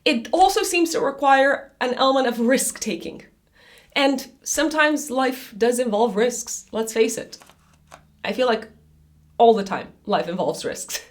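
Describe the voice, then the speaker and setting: Woman doing horoscopes, raspy from being sick, kinda high voice, lots of ums. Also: measured pace. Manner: chatty, loose, with many fillers and restarts. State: raspy voice after illness. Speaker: astrologer. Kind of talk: monthly horoscope reading.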